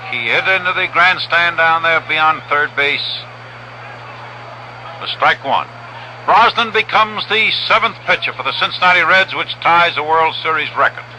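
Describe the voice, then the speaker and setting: A man with an American accent giving measured, descriptive live radio commentary as a baseball play-by-play announcer. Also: sits high. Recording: noisy vintage radio broadcast. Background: steady stadium noise outdoors.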